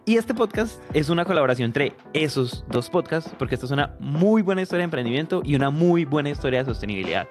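Tipo colombiano narrando el podcast, rápido y animado, con música leve de fondo. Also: male voice, fairly high pitch, big pitch swings, soft background music. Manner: quick, upbeat storytelling. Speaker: podcast co-host.

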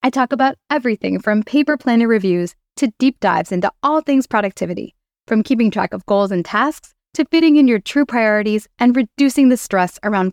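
A woman with a fairly high voice reading a scripted podcast promo in a smooth, even delivery. The recording is dry and close, with no room sound. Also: no room sound. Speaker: podcast host, physician.

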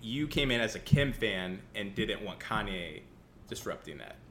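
Playful young man with a bright voice. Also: fairly low pitch, expressive, normal speed, slight background noise. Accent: Central Illinois. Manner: playful, laughs often. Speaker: podcast co-host. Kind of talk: casual podcast chat.

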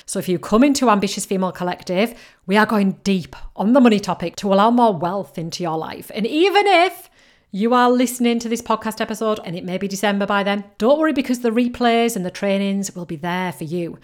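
A woman with a Northern English lilt, somewhere between Yorkshire and Geordie, coaching listeners on her own podcast. Her voice sits at a middling pitch, and she talks in an upbeat, encouraging way, hammering points home by saying them again. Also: animated, brisk. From North East England coast. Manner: upbeat, encouraging, repeats key phrases. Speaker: podcast host, business coach. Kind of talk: solo podcast advice.

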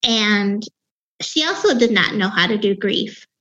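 A woman in her fifties with a Wisconsin accent and a young-sounding voice, telling her own story as an interview guest in a reflective way, with halting restarts. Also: mid-range voice, animated, sharp tone, muffled recording. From Wisconsin, USA. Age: 50s. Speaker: author and grief guest.

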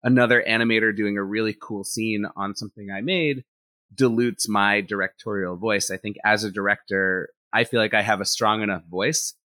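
A man, an animator and director, talking in a relaxed interview and thinking out loud with lots of "like" fillers. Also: on the low side, moderate pace, no echo. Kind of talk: casual interview conversation.

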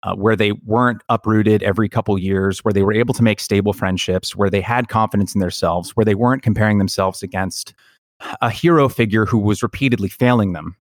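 Man, podcast host, lowish voice, earnest, reflective, halting delivery, personal storytelling, dry sound. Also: steady tone, no echo.

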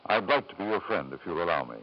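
A man's voice: sits low, lively intonation, quick pace, a little hiss or hum. An actor playing a middle-aged lord in an old-time radio drama, urbane and insistent, hemming as he persuades. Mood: persuasive. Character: smooth, domineering wealthy lord and father. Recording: muffled 1940s-50s radio recording.

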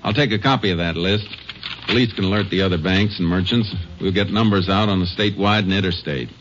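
An actor playing a calm Texas Ranger in a 1950s radio crime drama, with a clipped, matter-of-fact American delivery. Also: male voice, low voice, fast, close to an everyday voice. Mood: businesslike, probing. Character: calm, methodical male Texas Ranger investigator and narrator. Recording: muffled, noisy old radio recording.

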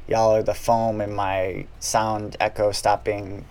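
A man's voice: on the low side, flat delivery, slow pace, darkish tone. A man hosting a solo podcast, talking casually and sounding worn out as he wraps up. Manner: casual, rambling, tired. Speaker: podcast host.

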